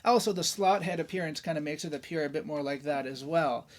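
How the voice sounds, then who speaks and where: A man's voice: a little high, brisk. A man hosting a guitar show, talking casually and enthusiastically in a chatty, informal way about gear. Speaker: host of a guitar review show. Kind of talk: casual gear talk and demonstration.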